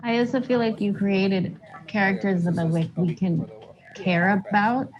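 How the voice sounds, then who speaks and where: A woman from Israel thinking aloud haltingly in a casual group discussion over a video call. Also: medium pitch. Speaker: workshop participant. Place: indoor room, video call. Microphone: computer mic on video call. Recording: muffled, noisy video call audio.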